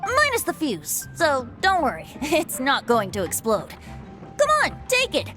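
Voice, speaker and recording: Boyish male bard, high-pitched, very animated, playful, teasing, theatrical game acting, music underneath. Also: measured pace, exaggerated voice. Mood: playful, cheerful. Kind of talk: video game character acting.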